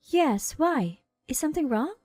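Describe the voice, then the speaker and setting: A sincere, concerned older sister in a dramatized story, acted by a woman. The delivery is earnest, high and animated. It sounds dry and close. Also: a little slow, no room sound. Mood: startled. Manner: earnest, questioning.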